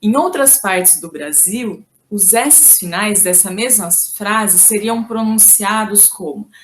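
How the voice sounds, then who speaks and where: Woman, from Brazil, language teacher, video lesson, patient, careful explaining, slow pace. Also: mid-range voice, a little hiss or hum. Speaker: Portuguese teacher.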